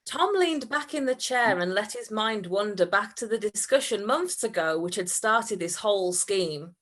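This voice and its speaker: A woman's voice: mid-range voice, expressive, moderate pace, a bit bright. A woman giving a live reading of comic fiction, giggly and prone to breaking into laughter. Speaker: reader at a comedy event.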